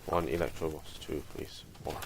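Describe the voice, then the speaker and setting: A man with a very low, gravelly voice gives casual live gaming commentary. He talks slowly, muttering his thoughts in fragments. Clear noise sits in the recording. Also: fairly even intonation. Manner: muttering, thinking aloud in fragments. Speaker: game livestreamer.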